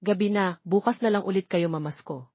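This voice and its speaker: A woman's voice: mid-range voice, fairly quick, slightly rough, no room sound, lo-fi sound, lightly acted. A woman voicing a kind, well-off man in an audio romance, friendly and concerned. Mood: kindly concern. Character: kind, handsome wealthy man, drawn to children.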